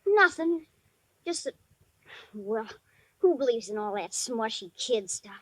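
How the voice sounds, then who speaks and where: An actor playing a shy but tough-talking ten-year-old boy in 1950s radio drama, with halting, slangy speech. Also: mid-range voice, big pitch swings, dry recording. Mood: scornful, tough. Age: child figure, almost eleven. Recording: dry vintage 1950s radio recording.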